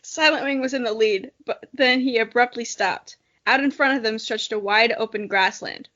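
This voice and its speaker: A woman's voice: on the high side, moderate pace, fairly bright tone, muffled recording. A giggly, self-mocking woman co-hosting a podcast, chatting casually and reading a story aloud. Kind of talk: casual chat and amateur dramatic reading.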